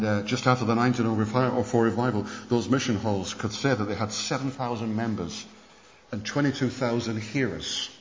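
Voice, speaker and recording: Male preacher, lively storyteller, low voice, dull hall recording with faint background noise. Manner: animated storytelling with many restarts. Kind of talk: sermon lecture on church history. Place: conference hall. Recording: muffled hall recording with long reverb.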